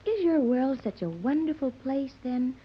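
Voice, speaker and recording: Naive young island woman, formal and literal, high swooping voice, vintage radio drama, muffled recording. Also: expressive, measured pace, husky, full character voice. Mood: grave, instructive. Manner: precise, formal, stilted diction. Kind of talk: 1930s radio serial acting.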